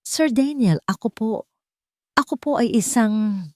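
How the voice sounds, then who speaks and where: A woman's voice: fairly high pitch, measured pace, no room sound, clean studio sound, voice pushed up, natural voice. A woman voicing a timid, tearful housemaid in a romance audio story, hesitant and stammering as she speaks deferentially. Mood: tearful, humble.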